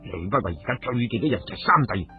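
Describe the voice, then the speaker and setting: A man voicing a grave, loyal elder sworn brother in a radio story, speaking in a measured, sombre way. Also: fairly low pitch, big pitch swings, faint music behind, voice pushed down. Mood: grim warning. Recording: muffled phone-like radio recording.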